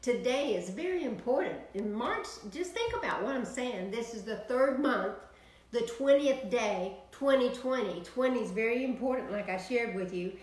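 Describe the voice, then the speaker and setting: A woman's voice: on the high side, moderate pace, reverberant, low-quality recording. An older woman with a Texan accent, preaching to an online audience from a home office. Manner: animated, preaching with sweeping hand gestures. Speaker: minister. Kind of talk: prayer broadcast sermon.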